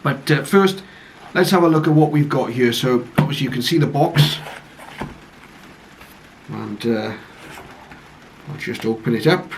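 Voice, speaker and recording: A man giving a casual, low-key first-look commentary on a piece of equipment, speaking hesitantly and without hurry. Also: measured pace, pauses often. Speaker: radio hobbyist reviewer. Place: conservatory, later a home radio room. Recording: clearly noisy home recording.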